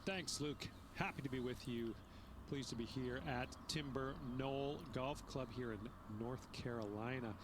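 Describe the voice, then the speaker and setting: A man's voice: medium pitch, a little slow, background noise, lightly acted. A man voicing an upbeat on-course golf reporter, delivering scripted TV sports commentary with enthusiasm.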